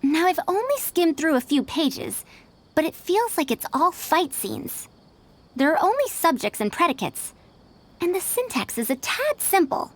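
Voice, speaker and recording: A woman's voice: very high-pitched, sing-song, measured pace, heavily acted. A woman playing a prim, youthful-sounding old female scholar in an anime-style game, speaking in a formal, pedantic way. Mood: critical. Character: bookish, prim female scholar over a hundred years old, youthful and opinionated.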